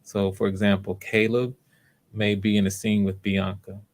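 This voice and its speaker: A man hosting live online auditions, talking casually to viewers in an unhurried, explanatory way, thinking aloud as he goes. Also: lowish voice, level tone. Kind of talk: casual livestream talk to viewers.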